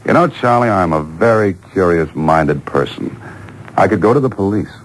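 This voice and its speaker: A man's voice: low-pitched, animated, moderate pace. An American actor playing a coolly menacing, wry and taunting man on the run in an old-time radio drama. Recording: noisy old radio show taped off air.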